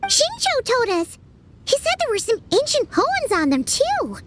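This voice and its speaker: Casting: a tiny bubbly fairy sidekick, piping high, sing-song, game music behind. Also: female voice, unhurried, heavily acted. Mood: chirpy. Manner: bubbly, excitable, very high-pitched. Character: tiny chirpy female fairy companion, eager and curious. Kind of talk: video game voice acting. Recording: game dialogue under music.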